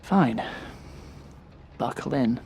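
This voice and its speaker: An actor playing a weary, resentful man of about thirty in an audio drama, speaking in a bitter, sardonic and deliberate way. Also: medium pitch, expressive, a little mellow, slight background noise. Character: weary, resentful thirty-year-old man confiding in a therapist. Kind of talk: audio drama acting, monologue.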